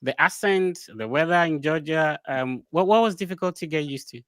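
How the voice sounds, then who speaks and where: A man hosting a casual interview over a video call, asking questions in quick runs and stumbling over words. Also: on the high side, expressive, fairly bright tone, dry recording. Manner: chatty, stammers, asks questions in runs. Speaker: host, interviewer.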